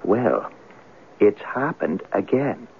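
An actor playing a deferential police sergeant in a radio drama, with a hesitant, respectful, colloquial way of talking. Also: male voice, fairly low pitch, big pitch swings, slow pace, vocal fry, a little hiss or hum, exaggerated voice. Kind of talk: old-time radio drama acting. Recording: narrow, tinny old radio recording.

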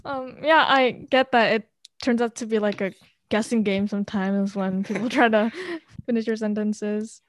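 A woman who stutters with pauses and blocks, talking in casual conversation and laughing softly between phrases. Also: medium pitch, fairly even intonation, a little hiss or hum.

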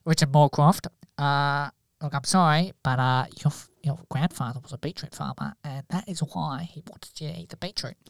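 A man's voice: on the high side, moderate pace, vocal fry, few pauses, no echo, studio quality. A man playing a bumbling nerdy ancestry researcher. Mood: fumbling. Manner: hesitant, full of ums and apologies.

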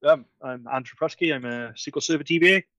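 A man's voice: on the high side, fast, a bit bright, dry recording, lo-fi sound. A man from Wales, chatting enthusiastically as a guest on a video call and tripping over his words now and then. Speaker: conference organiser guest. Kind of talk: casual video-call chat.

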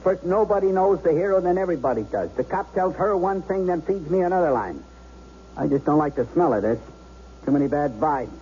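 A man as a tough, sneering hostage-taker, fairly high voice. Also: animated, brisk, warm tone, close to an everyday voice. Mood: suspicious, sneering. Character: suspicious, threatening bank robber holding hostages. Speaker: actor in a radio drama. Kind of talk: 1970s radio drama acting. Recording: dull, noisy 1970s radio broadcast.

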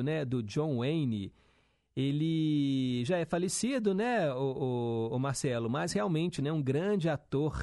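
A man's voice: a little high, a little mellow, dry recording, clean studio sound. A young male radio host, chatting casually and reading listener messages with upbeat teasing asides.

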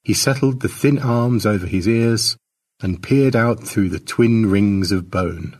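A man narrating a short story as an expressive audiobook reading. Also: lowish voice, measured pace, far from the mic.

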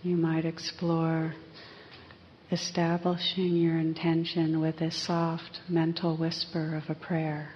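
Slow, low-voiced woman guiding meditation. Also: monotone. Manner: gentle, unhurried, pausing between phrases. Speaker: meditation teacher. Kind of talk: guided meditation. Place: live evening group session. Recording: slightly muffled live recording, faint hiss.

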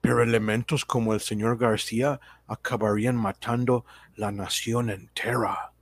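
An actor playing a pompous, outraged prosecutor orating in a radio drama courtroom. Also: male voice, mid-range voice, steady tone, moderate pace, breathy edge, no room sound. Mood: indignant. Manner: pompous, declamatory.

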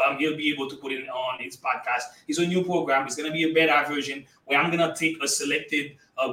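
A man from Haiti whose first language is Haitian Creole, giving emphatic, energetic motivational answers as a guest in an interview. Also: on the high side, roomy sound, distant mic, rough recording. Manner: energetic, emphatic, addresses listeners as guys. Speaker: guest entrepreneur.

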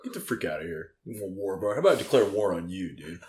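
Low-voiced man cracking deadpan crude jokes. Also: fast talker, slight background noise. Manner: deadpan, absurd jokes, mock outrage. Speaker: stand-up comedian. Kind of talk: crude joking banter.

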